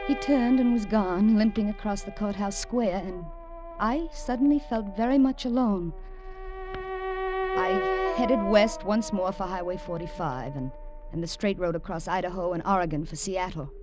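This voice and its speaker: A woman's voice: mid-range voice, animated, normal speed. A woman narrating a 1950s radio drama in a tense, dramatic way. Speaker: narrator and lead character. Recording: old radio recording with music score.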